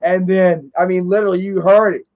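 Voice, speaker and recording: A man's voice: high voice, fairly even intonation, fairly quick, darkish tone. A man in his thirties telling a rambling, profane story in casual livestream chat, full of fillers and repeats. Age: 30s. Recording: dull lo-fi stream audio.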